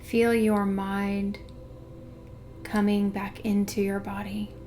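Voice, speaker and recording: A woman guiding a meditation in a soothing, unhurried way, hushed in places. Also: medium pitch, level tone. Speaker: meditation guide. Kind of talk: guided meditation narration. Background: background music.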